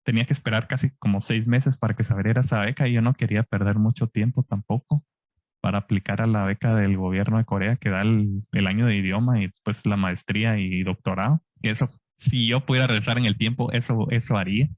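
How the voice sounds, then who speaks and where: A man in his thirties from Guatemala with a Guatemalan Spanish accent, telling his story in a relaxed, chatty interview with frequent tag questions. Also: on the low side, fast talker, no room sound. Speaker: guest scientist. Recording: dull lo-fi dry podcast audio.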